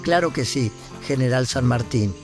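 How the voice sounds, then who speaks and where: An actor playing an anxious, deferential government minister in a historical audio drama, formal and respectful. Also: male voice, medium pitch, normal speed, darkish tone, faint music behind, close to an everyday voice. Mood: eager, concerned.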